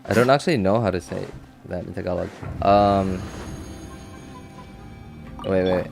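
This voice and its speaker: Low-voiced man thinking aloud. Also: creaky, a little mellow, soft background music. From Philippines. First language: Cebuano. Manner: halting, thinking aloud with many fillers and restarts. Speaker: game streamer. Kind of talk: casual live-stream chat.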